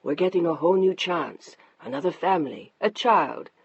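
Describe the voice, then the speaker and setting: A narrator voicing a middle-aged husband who speaks low, calm and unyielding, in a slightly muffled dry recording. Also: male voice, moderate pace, breathy edge, no echo, heavily acted. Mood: steely calm. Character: stern controlling husband, middle-aged, quiet and steely.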